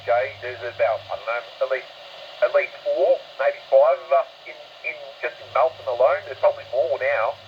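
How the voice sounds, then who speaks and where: Over a dull shortwave link with faint noise, an Australian man chats as a ham radio operator. He has a mid-pitched voice and rambles along in a matey, easygoing way. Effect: received over shortwave radio. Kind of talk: casual ham radio chat.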